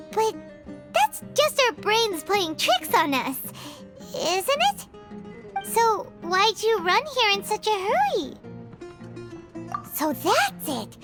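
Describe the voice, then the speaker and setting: A little fairy companion from a video game, squeaky and childlike. The pitch is sky-high and leaps about with excitable energy. Loud music plays underneath. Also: female voice, exaggerated voice. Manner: excitable, refers to self in third person. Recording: background music throughout.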